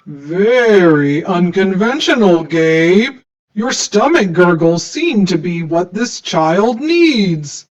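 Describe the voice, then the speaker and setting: A woman voicing a stern, formal male monster judge in a children's storybook, speaking in a pompous, deliberate way. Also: lowish voice, very animated, muffled recording, exaggerated voice. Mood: instructive.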